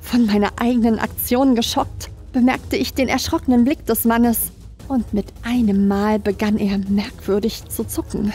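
High-voiced woman narrating horror. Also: steady tone, normal speed, warm tone. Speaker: creepypasta narrator. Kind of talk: scripted first-person horror narration. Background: background music.

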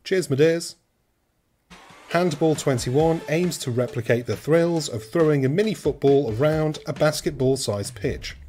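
A man's voice: a little high, measured pace, dark tone. A man from rural Wales, reading a scripted video game review with dry, cheeky humour.

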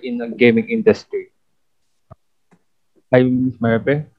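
A man's voice: mid-range voice, little pitch movement, measured pace. Filipino man interviewing, dull sound. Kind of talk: polite scripted questions. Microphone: video call. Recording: dull lo-fi video call.

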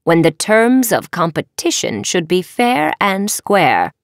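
Woman voicing a stern, slow-spoken witch professor. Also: fairly low pitch, big pitch swings, no room sound, studio quality, full character voice. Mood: gently admonishing. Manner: deliberate, formal admonishing. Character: stern old witch professor, measured and knowing.

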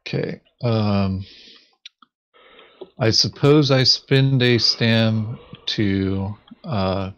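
A man's voice: lowish voice, steady tone, very slow, a bit bright, lo-fi sound. A man chatting casually during a board game in a hesitant, terse, dry manner. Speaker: board game player in a recorded play session. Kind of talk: casual gameplay chat.

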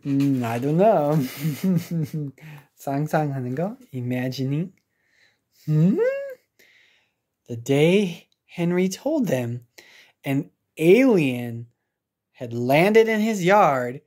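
A woman's voice: low-pitched, expressive, slow pace, long pauses, warm tone. An American woman teacher reading a children's picture book aloud, expressively, with simple asides and reactions for young learners.

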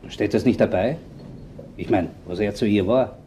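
An actor playing a casual, teasing police officer in a radio crime drama, with a dry manner. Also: male voice, fairly low pitch, moderate pace, mellow, slight background noise, lightly acted. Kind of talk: German-language radio crime drama acting.

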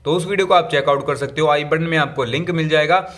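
A man's voice: fairly high pitch, fast, a bit bright. A man giving a casual tech review walkthrough of a phone, chatty and mixing English terms into his Hindi.

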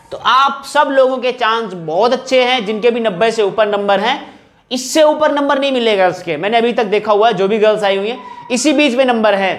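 A man speaking insistently in an informal update to exam candidates, like a coaching teacher appealing to students. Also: very high-pitched. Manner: insistent, repeating rhetorical questions and appeals.

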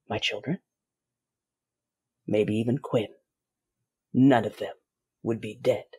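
A woman playing a bitter, imperious Sith Lord bent on vengeance, narrating an audio drama in a grave, measured way. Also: a bass voice, husky, dry recording, clean studio sound, full character voice.

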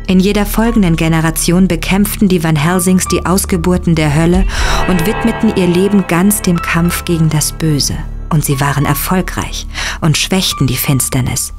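An actress playing a grim young monster hunter, narrating an audio drama in a solemn, dramatic, resolute way. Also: female voice, fairly low pitch, lightly acted. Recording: loud guitar music behind voice.

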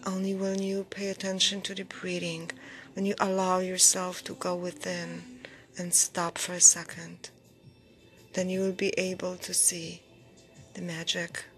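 Soft breathy lady doing guided meditation, really slow n soothing, music behind her. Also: lowish voice, monotone. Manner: soothing, unhurried, short phrases with pauses. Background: background music.

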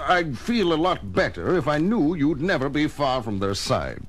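An actor playing a worried, cautious police commissioner in a 1970s children's audio drama. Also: male voice, fairly high pitch, big pitch swings, moderate pace. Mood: concerned, guarded. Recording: old vinyl record with music.

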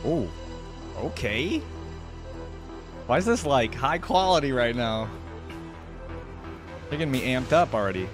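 A man's voice: mid-range voice, expressive, unhurried, pauses often. A man in his twenties chatting casually to viewers as a reaction host, in loose, slangy speech, close on a desk microphone. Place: small indoor home streaming setup. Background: electronic music.

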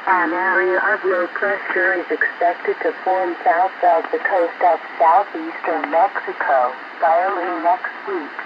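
A radio announcer reading a formal marine weather bulletin in a measured, impersonal way over shortwave single-sideband radio. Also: mid-range voice, steady tone. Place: radio broadcast played through a small receiver speaker. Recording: noisy, muffled shortwave radio reception. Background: loud static noise.

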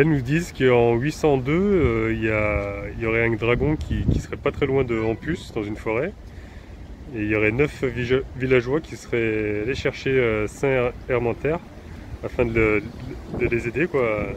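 Relaxed man vlogging on a walk. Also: medium pitch, moderate pace, muffled recording, a little hiss or hum. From France. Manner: relaxed, chatty, talks to his dog and viewers, frequent hesitations. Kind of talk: casual walking vlog. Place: outdoors in town streets and a field, then inside a camper van. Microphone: handheld phone camera at arm's length.

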